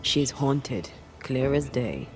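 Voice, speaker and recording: A woman playing a knowing, wry ghostly companion who speaks in hushed asides. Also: very deep voice, animated, takes it slowly, music in the background, heavily acted.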